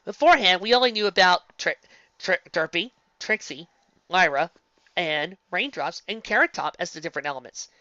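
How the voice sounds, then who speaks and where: A woman casually commenting on a fan story she reads aloud. She rambles, restarts and corrects herself, and jumps up in pitch for emphasis. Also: moderate pace, no echo, highs cut off. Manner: animated, jumping up in pitch for emphasis. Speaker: fan fiction reader and commentator.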